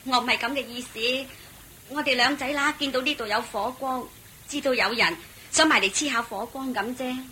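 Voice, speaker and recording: A meek, plaintive mother on the road in a Hong Kong Cantonese radio play. Her voice is highish and clear-edged, humbly courteous, on a noisy recording. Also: normal speed, bright, forceful tone. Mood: pleading. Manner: polite, plaintive. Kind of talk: Cantonese radio drama acting.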